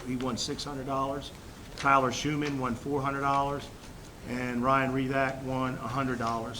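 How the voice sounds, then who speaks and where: A man with a head cold giving a formal superintendent's report at a school board meeting, halting with fillers and restarts. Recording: noisy room recording with rumble.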